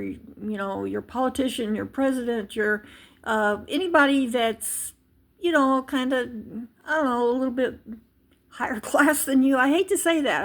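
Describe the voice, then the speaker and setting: A woman's voice: fairly high pitch, gravelly. A woman teaching a casual language lesson, chatty and rambling, with many fillers and restarts. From United States. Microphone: handheld device camera.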